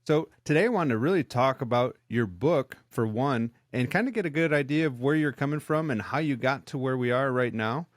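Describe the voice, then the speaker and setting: A man's voice: medium pitch, animated, a little fast, hardly any pauses, dry recording, clean studio sound. Easygoing male podcast host. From Michigan. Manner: easygoing, agreeable interviewer. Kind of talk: casual interview.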